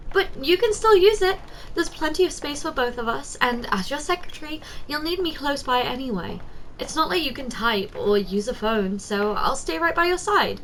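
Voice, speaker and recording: Woman doing a giant secretary asmr thing, kinda high soft coaxing voice, doting and teasy, bit of noise behind it. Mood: tender, teasing. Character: giant, doting, teasing female office secretary, tender toward tiny boss. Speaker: ASMR roleplay performer. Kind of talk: ASMR roleplay, addressing the listener directly.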